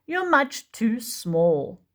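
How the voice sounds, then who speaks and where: A young boy Tyrannosaurus, voiced by a woman reading to children, pitched high and loud, with a wide bouncing range. He sounds like a child dinosaur in a storybook. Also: big pitch swings, extremely slow, heavily acted. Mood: taunting. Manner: teasing, then shaky and stammering. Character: young boy Tyrannosaurus, bossy then frightened.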